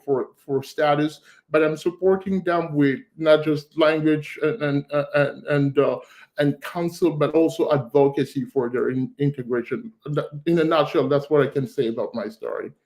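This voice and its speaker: A middle-aged man with a fairly high voice speaks on a panel. He chooses formal words and speaks in a measured way. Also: a little mellow. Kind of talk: personal story on a panel.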